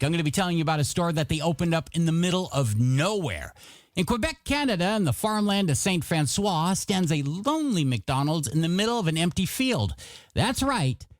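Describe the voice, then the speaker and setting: A man hosting a radio show as a DJ, with showy, playful announcer patter and music playing behind him. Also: high voice. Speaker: radio DJ host. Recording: music bed under the voice.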